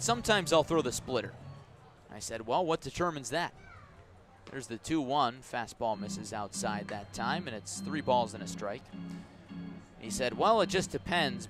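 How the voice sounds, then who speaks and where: A man calling baseball play-by-play for radio, conversational and storytelling between pitch calls, with clearly structured sports commentary delivery.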